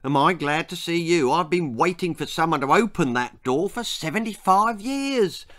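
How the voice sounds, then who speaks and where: A man's voice: fairly high pitch, lively intonation, moderate pace, a bit bright, voice pushed up. Man putting on a blustery, shrunken ancient old man. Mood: exclaiming, indignant. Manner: blustery, quaint exclamations. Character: shrunken, crinkly, indignant ancient old man with long beard.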